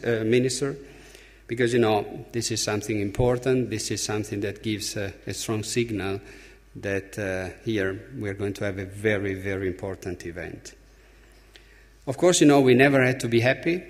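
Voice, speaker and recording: A man from Italy with an Italian accent gives a conference speech as an opening speaker, emphatically, with halting, deliberate pauses. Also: lowish voice. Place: large conference hall. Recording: reverberant hall, long echo.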